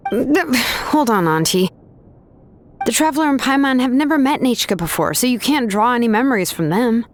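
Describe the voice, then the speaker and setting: A woman's voice: fairly high pitch, lively intonation, moderate pace, slight background noise, natural voice. A woman voicing an easygoing female artisan and name engraver in a video game, a chatty storyteller who drops casual fillers.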